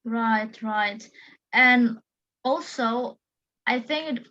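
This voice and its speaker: A woman's voice: fairly high pitch, drawn-out words, sharp tone, lo-fi sound. A woman, an English teacher whose first language is Indonesian, joining the panel discussion with a friendly, agreeing manner and frequent backchannels.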